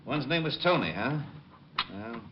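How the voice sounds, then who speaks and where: Grumpy swooping police lieutenant. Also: male voice, medium pitch, very animated, measured pace. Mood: businesslike. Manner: exasperated, barking, sarcastic. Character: grumpy, long-suffering, queasy male police lieutenant. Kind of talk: 1940s-50s radio drama acting. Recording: noisy, dull old radio recording.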